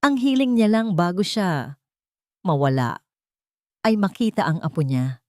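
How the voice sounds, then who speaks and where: A woman voicing a deceitful estranged husband, pleading softly and dramatically in a story. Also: fairly low pitch, big pitch swings, a little slow, no echo, clean studio sound, voice pushed up. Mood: sorrowful pleading. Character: pleading, deceitful estranged husband begging to see his son.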